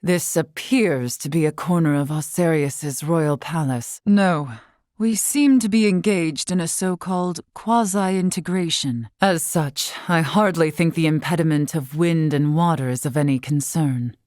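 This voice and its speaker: An actress playing a wise, long-lived female scholar in a video game, composed and curious, speaking in formal, measured, eloquent phrases. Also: deep voice, dry recording, clean studio sound. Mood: concerned, calm. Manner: formal, measured, eloquent, softly breathy at times. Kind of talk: scripted video game character dialogue.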